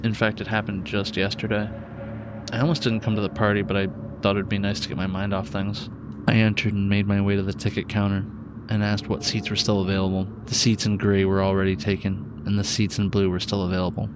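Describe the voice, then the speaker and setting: A man's voice: on the low side, little pitch movement, a little fast, noisy background, lightly acted. A man playing an eerie storyteller swapping spooky tales in an audio drama. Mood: confiding, eerie. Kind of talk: audio horror anthology acting. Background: music.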